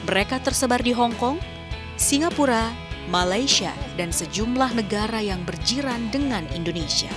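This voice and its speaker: Woman, news reporter, scripted voice-over, measured even reading, music bed underneath. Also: fairly high pitch.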